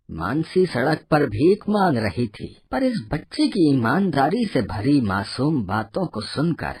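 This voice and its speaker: Someone voicing a husky, swooping kindly old man. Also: medium pitch, darkish tone, no echo, full character voice. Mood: earnest, advising. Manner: hesitant, drawn-out, fatherly delivery. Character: kindly wealthy old man, gentle and moralizing benefactor. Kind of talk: animated moral story dialogue.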